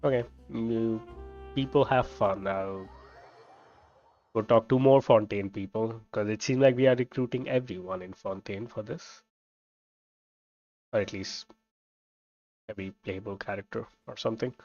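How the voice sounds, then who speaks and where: A gamer with a creaky voice mumbles casual commentary while playing. The audio is slightly dull, with faint game music behind. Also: mid-range voice, fairly even intonation, measured pace, long pauses, a little mellow. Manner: offhand, muttering to self. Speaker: video game streamer.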